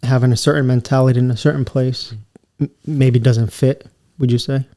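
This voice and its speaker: A man's voice: medium pitch, moderate pace. A man with a New York accent, co-hosting a podcast in casual chat and putting on other accents as he tells stories. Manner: animated storyteller, mimics accents, says bro. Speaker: podcast co-host.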